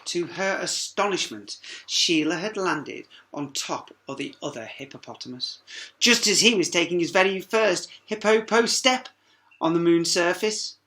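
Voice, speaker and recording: A woman's voice: on the low side, measured pace, a bit bright. A woman reading a storybook aloud to young children, with dramatic emphasis and chatty asides.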